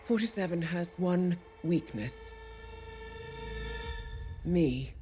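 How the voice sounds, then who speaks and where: An actress playing a poised, calculating female spymaster in a video game cutscene, measured and deliberate with steely resolve. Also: on the low side, expressive, warm tone, soft background music. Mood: steely, menacing. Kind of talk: cinematic video game acting.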